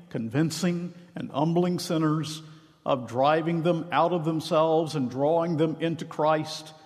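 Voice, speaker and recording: A man's voice: fairly high pitch, a little mellow. Earnest preacher man in echoing church. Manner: earnest preaching with emphatic slow phrases. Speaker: minister. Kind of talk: sermon. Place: church sanctuary. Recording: long reverb of a large hall.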